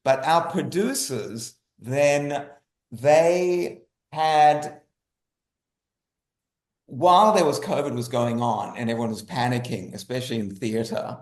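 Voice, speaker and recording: A man's voice: mid-range voice, expressive, slow pace. A man speaking as an interview guest, often repeating and rephrasing himself for emphasis. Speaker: guest, writer. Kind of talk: interview conversation. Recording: roomy, echoey sound.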